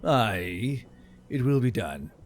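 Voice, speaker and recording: A man's voice: on the low side, sing-song, takes it slowly. A man voicing a dutiful bearded town guard captain in a fantasy audiobook, with formal, old-fashioned speech.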